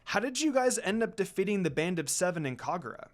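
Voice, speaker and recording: A man's voice: sits high, sing-song, quick pace. A man voicing an earnest, kind young woman in a storybook reading.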